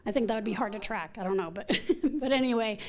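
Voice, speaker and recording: A woman's voice: mid-range voice, fast, darkish tone. A middle-aged woman, a local resident, speaking at a community meeting in a chatty, earnest way, with small laughs between her points. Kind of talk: public comment at a meeting.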